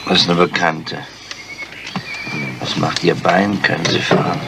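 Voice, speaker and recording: An actor playing a dogged, weary police inspector in a crime radio play, speaking in a gruff, terse manner. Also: male voice, sits low, little pitch movement, a little slow. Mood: weary, businesslike. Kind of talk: crime radio drama acting. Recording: noisy old radio play.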